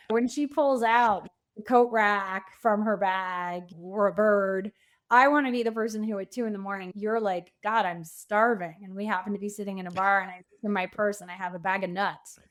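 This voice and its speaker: A woman speaking conversationally as a podcast guest and coach, telling stories in an animated way with bits of quoted dialogue. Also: mid-range voice. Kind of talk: conversational interview.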